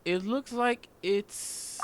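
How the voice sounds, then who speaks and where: A woman's voice: mid-range voice, extremely slow, a little hiss or hum. A woman doing casual let's play commentary, reacting aloud in a playful way. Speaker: gaming streamer. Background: game music.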